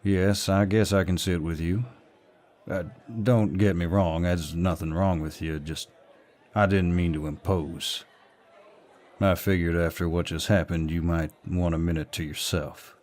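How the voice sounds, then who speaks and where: Deep, slow-drawling Southern gentleman, acted by a man. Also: fairly even intonation. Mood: gentle, curious. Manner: polite, drawling, firm, old-fashioned courtesy. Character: protective, courteous, dominant Southern gentleman in a bar. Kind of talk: roleplay audio acting, intimate and scripted.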